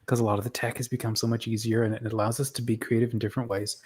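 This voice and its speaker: A man's voice: on the low side, flat delivery, very fast, darkish tone, breathy edge. A young adult man speaks as a show host in a casual interview. His phrasing is upbeat and informal, leaning on stretched words for emphasis. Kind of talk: casual interview and community promotion.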